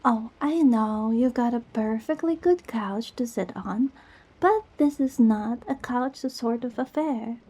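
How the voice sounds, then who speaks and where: A woman acts out a sweet, goofy young girlfriend in an intimate ASMR roleplay. Her voice is high and breathy with a very mellow tone. She talks slowly, rambling playfully as she reassures her partner with tender affection. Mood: cozy, caring.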